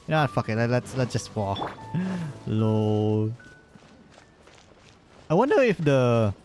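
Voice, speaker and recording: A male streamer chats casually over gameplay in a mid-pitched voice. He rambles in slangy asides, with faint game music behind him. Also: a little mellow. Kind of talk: casual live gameplay commentary.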